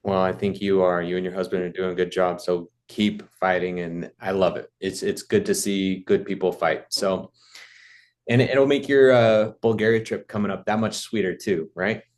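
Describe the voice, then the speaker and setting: Deep-voiced man hosting an interview. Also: steady tone, moderate pace, a little mellow. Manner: encouraging, short affirmations. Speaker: podcast host. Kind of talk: casual interview.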